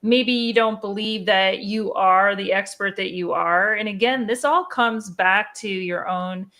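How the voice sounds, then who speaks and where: A woman's voice: mid-range voice, unhurried, a bit bright. A woman guest on a podcast who answers in an earnest, encouraging and emphatic way, as if giving motivational advice.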